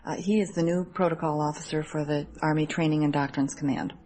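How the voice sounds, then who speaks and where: A woman in her thirties speaks in a low-pitched voice with a Midwestern American accent. She answers as a radio interview guest, earnest and articulate in how she explains things. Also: steady tone, fairly quick, dark tone. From Midwest United States. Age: 30s. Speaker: interview guest, military wife.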